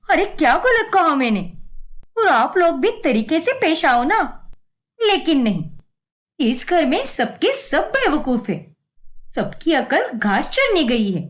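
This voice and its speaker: A woman voicing a hot-tempered young working daughter-in-law in a melodramatic cartoon, sharp-tongued and sarcastic. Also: high-pitched, sing-song, slow, heavily acted. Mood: angry, exasperated.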